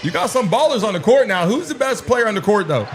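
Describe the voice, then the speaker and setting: A man's voice: high-pitched, sing-song, quick pace, music in the background. A man hosting a casual live watch-along, chatting to viewers with a basketball game playing behind him. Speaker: livestream host. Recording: faint game noise behind.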